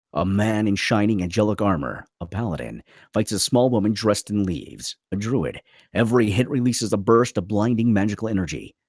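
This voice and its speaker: Guy narrating script stage directions, lively intonation, close dry sound. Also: fairly low pitch, no echo. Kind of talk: scripted narration at a table read.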